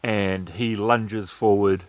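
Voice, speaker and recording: Male game master for tabletop storytelling, slow delivery, close and dry recording. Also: fairly low pitch, fairly even intonation, no echo.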